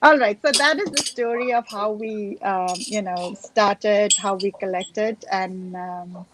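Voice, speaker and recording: A woman's voice: mid-range voice, takes it slowly, noisy background. A woman hosting a casual video call conversation, chatty and enthusiastic, with frequent ums and you-knows. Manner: enthusiastic, chatty, many fillers. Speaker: host. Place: United States.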